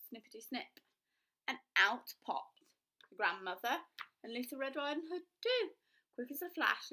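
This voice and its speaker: A woman's voice: on the high side, pushed and bright. A woman reading a children's storybook aloud, narrating expressively with dramatic emphasis.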